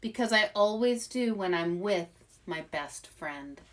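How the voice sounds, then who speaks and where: A woman's voice: mid-range voice, lively intonation, a little slow, fairly bright tone. A woman voicing a grumbly but loyal young male animal in a storybook, with an insistent way of talking. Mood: kindly.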